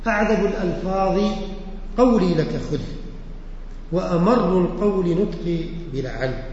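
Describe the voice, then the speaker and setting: A man teaching a religious lesson who recites verses slowly, repeats them and explains their words with questions to his listeners. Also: high-pitched, animated, a little mellow, a little hiss or hum. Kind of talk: lesson explaining poetry and sayings. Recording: echoey hall, muffled lo-fi recording.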